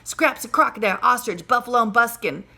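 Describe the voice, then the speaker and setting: Bright, high-voiced woman reading a storybook. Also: creaky. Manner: animated, teacherly, pauses to question listeners. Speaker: primary school teacher reading aloud. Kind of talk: expressive children's storybook read-aloud.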